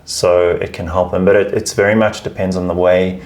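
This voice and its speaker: A man answering interview questions in relaxed, emphatic chat, often repeating words as he finds his phrasing. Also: low-pitched, moderate pace. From South Africa. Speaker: guest, advertising research lead.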